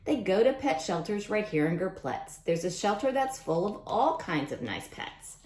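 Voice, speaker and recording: Animated woman reading aloud to children. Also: mid-range voice, normal speed, echoey room. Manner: expressive, addresses young listeners directly. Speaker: teacher reading a picture book aloud to children. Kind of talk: read-aloud storytime for young children with chatty asides.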